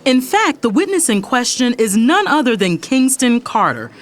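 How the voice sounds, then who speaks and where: An actress playing a fiery, exasperated lawyer and big sister, speaking emphatically in a dramatic audio story. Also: female voice, on the high side, very animated, normal speed. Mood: composed, insistent. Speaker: audiobook narrator. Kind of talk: dramatic first-person story narration.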